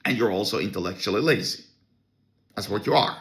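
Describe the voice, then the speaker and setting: A man's voice: fairly low pitch, lively intonation, fairly quick, a bit bright. A man with a Norwegian accent, hosting a solo podcast and ranting in a blunt, scornful way with many restarts. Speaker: podcast host. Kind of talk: opinionated solo podcast rant.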